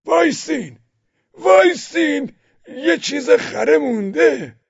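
A crafty old village peasant with a raspy, gravelly voice, pitched high and swooping wildly up and down as he haggles. The sound is dry and a little dull, like a lo-fi recording. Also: wildly swooping pitch, no room sound, heavily acted. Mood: shouting. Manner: haggling, wheedling, insistent. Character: stubborn, cunning old male village peasant.

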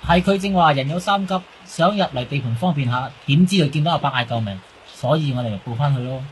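A man's voice: mid-range voice, lively intonation, fast talker, slight background noise, natural voice, poor audio. A man with a Hong Kong accent playing an eager, chatty young junior detective in a radio drama.

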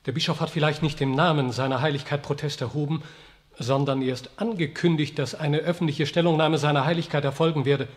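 A man's voice: fairly high pitch, fairly even intonation, fairly quick, lightly acted. An actor playing an anxious, middle-aged aristocratic father, a count, in a 1960s radio drama, speaking deferentially and apologetically. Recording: long reverb of a large room.

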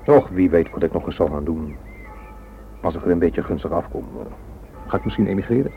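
Wry police inspector on noisy old radio. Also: male voice, deep voice, expressive, a little fast. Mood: resigned. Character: suspended British police inspector, wry and resigned. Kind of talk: radio drama acting. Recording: old radio recording, narrow band, background noise.

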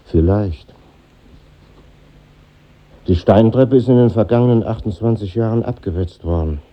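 An actor playing a reflective middle-aged conductor revisiting his old school, husky and musing, in a 1950s German radio drama. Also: fairly low pitch, highs cut off, close to an everyday voice. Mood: musing, nostalgic. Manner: musing, pausing, nostalgic interior monologue.

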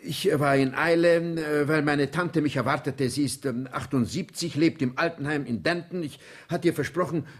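An actor playing a nervous, evasive gentleman under police questioning, husky, polite and hesitant, in a 1970s German radio play. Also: on the high side, normal speed, hardly any pauses, natural voice. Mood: flustered, pleading. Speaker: actor in a radio crime drama.

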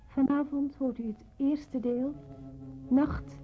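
A woman's voice: high voice, fairly even intonation, slow pace, faint music behind. A woman reading a scripted announcement as a radio drama announcer. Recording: tinny narrowband old radio recording.

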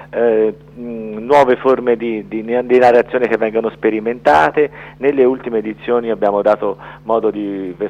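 A man answers as a guest in a radio interview. His voice sits fairly low and he explains things formally and carefully. The sound is somewhat dull, as if coming down a remote line. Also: darkish tone. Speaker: film festival director, interview guest. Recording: dull band-limited phone-like radio link.

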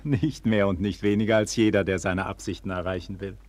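A man's voice: deep voice, fairly even intonation, a little fast, dry recording, natural voice. An actor playing an ardent, proud and weary nobleman and tender lover in a classic German radio play, with theatrical, declamatory delivery. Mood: assured. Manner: theatrical, declamatory, swelling and falling to hushed tenderness.

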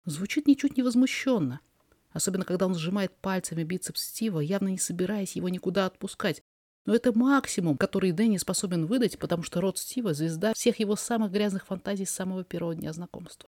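A narrator reading a fiction story aloud in an expressive, dramatized way. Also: mid-range voice, fast, no echo, studio quality. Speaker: podfic reader, narrator.

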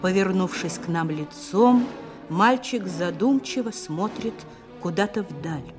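A woman's voice: fairly low pitch, lively intonation, a little slow. A woman narrating a radio play in a solemn, documentary manner. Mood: reflective. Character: solemn, measured female narrator. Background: music.

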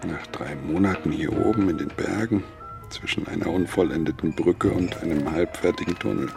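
An actor playing a nervous, stubborn railway engineer in his forties in a German radio play, halting and self-justifying, with music under him. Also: sits low, creaky. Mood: brisk, fussy. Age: 40s. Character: nervous, stubborn forty-year-old railway engineer, male.

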